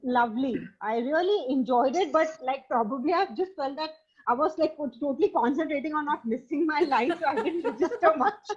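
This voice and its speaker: High-voiced woman, dull call audio. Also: a little fast. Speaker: reading group participant. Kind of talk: casual chat.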